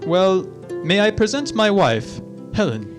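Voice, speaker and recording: A man's voice: sits high, big pitch swings, a little slow, music in the background. A man playing a hearty, welcoming nephew in a radio drama, with flustered exclamations. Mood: astonished. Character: hearty, welcoming young nephew hosting Christmas dinner. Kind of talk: theatrical radio drama acting.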